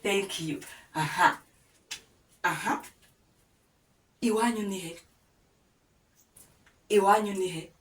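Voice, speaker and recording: A woman's voice: medium pitch, slow pace, pauses often. A woman with a Rwandan accent teaching a language lesson, coaxing listeners to repeat with many prompts. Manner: patient, coaxing, many "yes?" and "aha" prompts. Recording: echoey room, voice a bit far from the mic.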